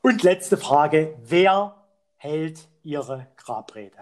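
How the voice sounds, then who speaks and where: An actor playing a cheeky, boozy pub philosopher captain who hosts a comic phone quiz. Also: male voice, a little high, animated, very slow. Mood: joking. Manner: playful, joking, teasing. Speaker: host.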